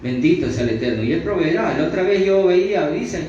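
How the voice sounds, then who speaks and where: A man's voice: a little high, lively intonation, darkish tone. A man with a Latin American Spanish accent teaching the Bible in an extemporaneous sermon, repeating himself and punctuating his phrases with amen. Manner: punctuates phrases with amen, repetitive, halting restarts. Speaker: religious teacher, preacher. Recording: very echoey room, distant mic, dull sound, faint noise.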